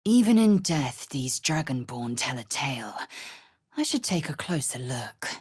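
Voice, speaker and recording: A woman playing a proud, fierce githyanki warrior in a fantasy video game, with a husky, raspy voice and a clipped, imperious, formal delivery. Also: extremely low pitch, sing-song, measured pace, darkish tone, dry recording, clean studio sound, heavily acted. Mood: brusque, observant.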